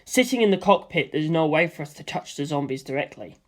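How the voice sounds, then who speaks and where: A woman voicing a cautious, practical man who raises doubts in a measured way. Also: deep voice, animated, darkish tone. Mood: doubtful.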